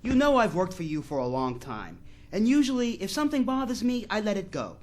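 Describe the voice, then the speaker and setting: A man's voice: high-pitched, big pitch swings, a little fast, a little mellow. A man playing an earnest, devout employee in a science fiction radio drama, plain-spoken, hesitant and moralizing. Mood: hesitant, deferential.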